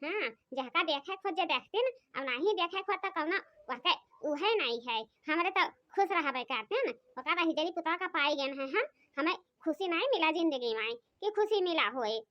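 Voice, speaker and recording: Woman doing a super high shrill awadhi village wife, always griping, kinda muffled lo-fi sound. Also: lively intonation, normal speed. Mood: grumbling. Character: gossipy, complaining young village wife. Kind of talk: cartoon comedy voice acting. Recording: dry, muffled lo-fi.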